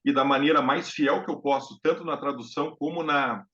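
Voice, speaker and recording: A man's voice: on the high side, fairly even intonation, a little fast, a bit bright. A man from Brazil hosting a casual live-stream talk on music therapy, speaking hesitantly with many fillers and restarts. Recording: dull lo-fi live-stream audio.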